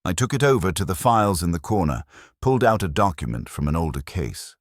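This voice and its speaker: Very deep-voiced man narrating detective fiction. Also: very animated, brisk, no room sound, studio quality. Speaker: audiobook narrator. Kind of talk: scripted narration of a vintage detective story.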